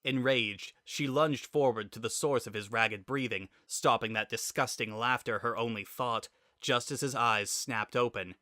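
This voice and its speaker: A man narrating a scripted story in a dramatic, expressive and deliberate way. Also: medium pitch, no echo, studio quality.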